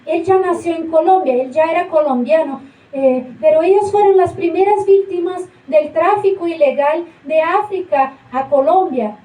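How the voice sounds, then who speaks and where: A woman playing a widowed female hippo who is a grieving young mother and speaks haltingly with many fillers, acted live on a theater stage. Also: very high voice, warm tone. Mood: hesitant. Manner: hesitant, halting, full of fillers. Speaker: interviewee on a radio show. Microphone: far camera. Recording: roomy stage from far off.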